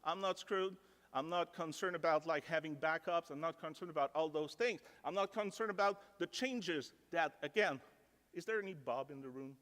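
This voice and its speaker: A man's voice: a little high, normal speed, a bit bright. French-speaking Canadian man in a reverberant hall. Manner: casual, self-deprecating, filler "like". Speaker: conference speaker. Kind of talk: technical conference talk. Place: large conference ballroom. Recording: reverberant conference ballroom.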